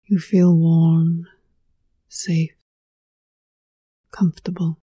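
A woman leading a guided meditation in a soft, breathy voice that often sinks near a whisper, hushed, soothing and unhurried, with poetic scripted phrasing. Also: deep voice, little pitch movement, no echo, studio quality. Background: music.

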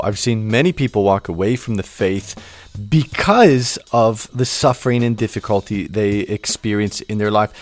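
A man hosting a podcast interview, speaking reflectively and prompting his guest with soft interjections. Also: mid-range voice. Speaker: podcast host.

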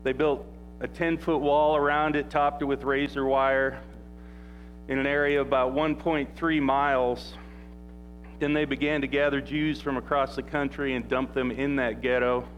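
A pastor preaches in a relaxed, wandering manner, stopping often on small fillers and restarting his sentences. His pitch sits in an ordinary middle range, and music plays clearly behind him in the church. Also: moderate pace, rough recording. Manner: rambling and conversational, full of fillers and restarts; says he has a loud voice. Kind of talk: informal sermon.

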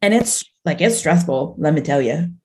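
A woman's voice: low voice, lively intonation, moderate pace, darkish tone, rough recording. A young adult woman co-hosting a fitness podcast, talking casually with lots of fillers and restarts. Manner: chatty, full of fillers and restarts. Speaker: podcast co-host. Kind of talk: casual chat.

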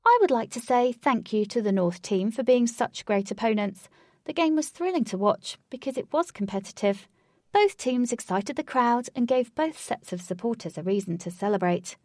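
A woman's voice: on the high side, expressive, normal speed, warm tone, no room sound, clean studio sound, close to an everyday voice. Woman reading a gracious young coach. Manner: formal, gracious speechmaking.

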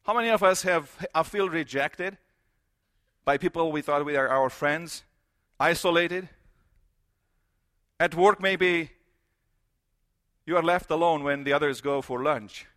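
A middle-aged man preaches a sermon in English, his voice pitched high for a man. His tone is bright and forceful. He drives home each point by stacking repeated phrases and rhetorical questions. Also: measured pace, pauses often. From Romania.

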